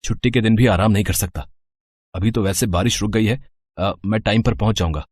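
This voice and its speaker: A man's voice: lowish voice, fairly quick, darkish tone, no echo, lightly acted. A man playing a tired husband and office worker in a cartoon story, easygoing and mildly complaining. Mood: resigned. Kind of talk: Hindi cartoon moral story acting.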